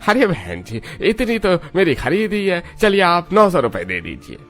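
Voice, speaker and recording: A man acting a cartoon vegetable seller, friendly but grudging. His voice is fairly high and swings a lot, with light music behind. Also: wildly swooping pitch, measured pace, fairly bright tone, exaggerated voice.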